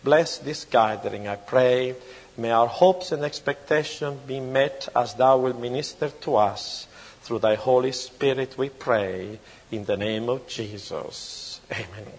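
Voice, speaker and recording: A middle-aged man with an Italian accent, first praying and then giving a conference talk about his own life in an earnest, measured way, sometimes pausing to search for words. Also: medium pitch, husky. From Italy. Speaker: conference speaker. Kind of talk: prayer and lecture-style testimony. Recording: long hall reverb.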